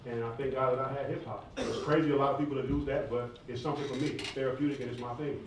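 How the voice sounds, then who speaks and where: A man's voice: medium pitch, steady tone, very fast. An American man from near Atlanta speaks candidly and casually in slangy phrases, answering questions at a live event. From southeastern United States, near Atlanta. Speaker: hip hop artist and former college athlete answering questions. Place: large echoey indoor room at a live event. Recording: echoey hall, distant mic, faint noise.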